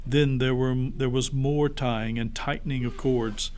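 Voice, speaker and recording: A man's voice: mid-range voice, fairly even intonation, unhurried, darkish tone. A man narrating a story expressively, as a pastor reading aloud to children.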